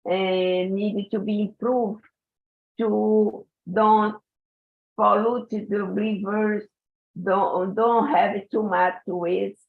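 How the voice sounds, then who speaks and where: Woman, guest speaker on a tinny phone-like call line, unhurried and searching for English words. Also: mid-range voice, fairly even intonation. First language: Portuguese. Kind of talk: online conference talk. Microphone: video call.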